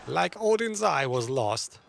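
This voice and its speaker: A man's voice: on the high side, big pitch swings, measured pace, gravelly, slight background noise. A man giving casual live commentary on a game, musing aloud and chatting to his viewers. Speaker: gaming streamer. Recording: game music behind the voice.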